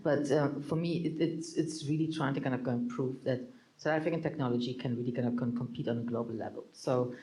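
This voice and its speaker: A man's voice: medium pitch, steady tone, quick pace, warm tone, rough recording. A man with a German accent, a venture capital partner speaking on a conference panel, joking and conversational with hesitant fillers. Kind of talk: panel discussion.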